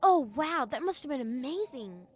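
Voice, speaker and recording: High-voiced, curious young girl. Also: animated, normal speed, a little mellow. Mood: amazed. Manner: wide-eyed, colloquial. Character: curious, casual, easily amazed young girl. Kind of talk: children's audio drama acting.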